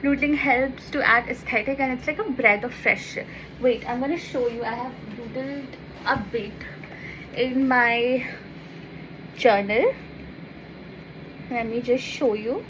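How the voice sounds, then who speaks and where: A woman's voice: a little high, steady tone, measured pace, long pauses, a bit bright, slight background noise, rough recording. Chatty Bengali-accented young woman vlogging. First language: Bangla. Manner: chatty, repeats "see" and "so yeah", shows pages as she talks. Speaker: lifestyle vlogger. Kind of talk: casual chat to camera.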